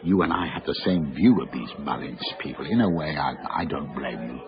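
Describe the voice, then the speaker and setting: A man's voice: a bass voice, animated, normal speed, a crowd in the background. An actor playing a refined older Frenchman in service in a radio drama, speaking with formal, precise courtesy. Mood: confiding, wistful. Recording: slightly dull radio broadcast sound.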